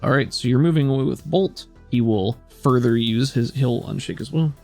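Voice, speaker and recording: Game master for a tabletop session: conversational, improvised narration, touch of fry, music underneath. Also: mid-range voice, normal speed, a little mellow.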